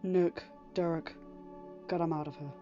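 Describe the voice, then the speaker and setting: A woman voicing a young man speaking gently and softly to calm a grieving friend. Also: sits low, a little slow, lo-fi sound, faint music behind. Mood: gentle. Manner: soft, gentle.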